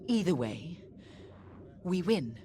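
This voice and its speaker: An actress playing a cold, vengeful Imperial squadron commander in a game, speaking in a measured, imperious, menacing way. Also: female voice, on the low side, big pitch swings. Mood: cold, commanding. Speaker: military commander. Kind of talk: scripted game briefing. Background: music.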